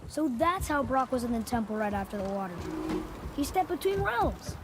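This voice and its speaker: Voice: mid-range voice, big pitch swings, normal speed, noisy background. A young performer playing a curious, eager boy in a video game, with an earnest, inquisitive way of talking. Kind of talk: video game dialogue acting.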